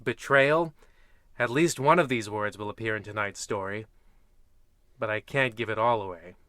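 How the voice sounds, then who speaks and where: A man playing a hammy, eerie narrator of a parody old-time radio horror show, with a theatrical, ominous, deadpan delivery. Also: medium pitch, dry recording, clean studio sound. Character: hammy, eerie male horror anthology narrator, faintly dim. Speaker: narrator and host.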